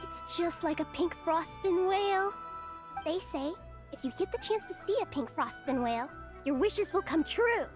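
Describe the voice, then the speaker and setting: Innocent squeaky little kid. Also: female voice, animated, moderate pace, over music. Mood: eager, dreamy. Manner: childlike, simple eager phrasing. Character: innocent eager little child, sweet and trusting. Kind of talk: video game character dialogue.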